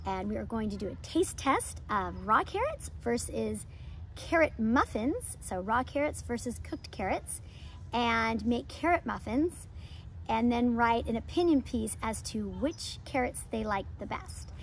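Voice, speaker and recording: Female homeschool host, expressive and enthusiastic, high voice with lively swings, some background noise. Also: a little slow. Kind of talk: children's lesson and picture-book read-aloud.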